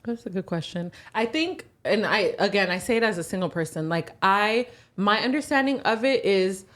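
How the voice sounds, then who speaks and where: A woman of thirty, co-hosting a casual podcast chat, animated and quick to laugh. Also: mid-range voice. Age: 30s. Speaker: podcast co-host.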